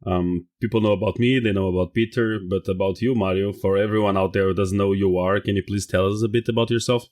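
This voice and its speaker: A man's voice: on the low side, steady tone, fast, hardly any pauses, darkish tone. A man hosting a podcast, welcoming and interviewing a guest over a video call. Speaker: podcast host. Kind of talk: casual podcast chat.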